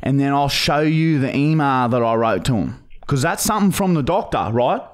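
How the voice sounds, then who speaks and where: A man playing a dodgy, pushy Australian bogan on a prank phone call, talking in halting, repetitive slang. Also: medium pitch, expressive, close to an everyday voice. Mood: pleading, scheming. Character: dodgy, pushy young male bogan pestering for painkillers. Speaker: prank caller, podcast host. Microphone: close studio mic on boom arm.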